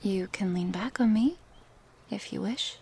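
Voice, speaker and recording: Woman as a slow, breathy, whispering lamia woman. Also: mid-range voice, slight background noise. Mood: earnest, pleading. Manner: slow, hesitant, soft-spoken with sighs and pauses. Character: female lamia, a gentle, curious, coaxing snake woman. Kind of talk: ASMR roleplay, intimate one-sided dialogue.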